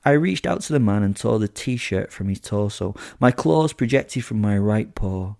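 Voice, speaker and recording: A man's voice: fairly low pitch, expressive, moderate pace, creaky, warm tone, dry recording, clean studio sound. A man voicing a sadistic, gleeful werewolf killer who tells horror audio drama in the first person, with flippant, theatrical asides. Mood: gloating, matter-of-fact. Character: sadistic, gleeful male werewolf serial killer narrating his kills.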